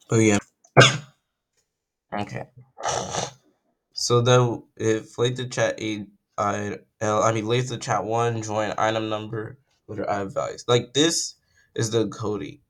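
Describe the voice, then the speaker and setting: Croaky young man rambling through code. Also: lowish voice, little pitch movement, slow pace, long pauses. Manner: rambling, self-correcting, thinks aloud. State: croaky from just waking up. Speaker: coding tutorial creator. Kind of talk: casual screen-recorded coding tutorial.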